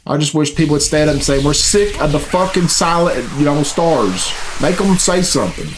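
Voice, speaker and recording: A man's voice: on the high side, lively intonation, normal speed, background noise. A man in his thirties giving blunt, grumbling, profane off-the-cuff reaction commentary in a casual style. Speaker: reaction video host. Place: small furnished home room indoors. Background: game cutscene music.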